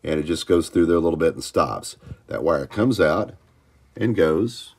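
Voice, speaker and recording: A man's voice: extremely low pitch, animated, moderate pace, darkish tone. An American man giving an informal hands-on tutorial, explaining step by step in a plain-spoken, folksy way. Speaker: hobbyist host of electronics tutorial. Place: indoors at a home electronics workbench.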